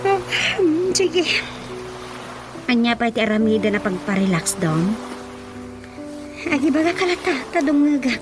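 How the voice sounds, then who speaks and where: Curious agreeable wife in ilocano radio comedy, high voice, music under it. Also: female voice, sing-song, unhurried, pauses often. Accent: Ilocano Filipino. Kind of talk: Filipino radio drama acting.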